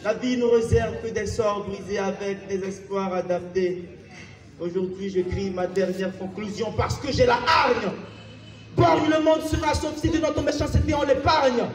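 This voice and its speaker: Guy doing intense anguished slam poetry, really high voice, distant mic on an echoey stage. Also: normal speed. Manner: declamatory, intense, anguished, with insistent repetition. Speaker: slam poet. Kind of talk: theatrical spoken-word slam performance. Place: live festival stage, picked up from a distance. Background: flute music.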